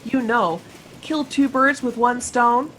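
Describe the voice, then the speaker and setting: A woman voicing a talking spaceship with formal, measured, precise speech and a playful streak, in a sci-fi audio drama. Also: fairly high pitch, a bit bright. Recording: noisy home video-call audio.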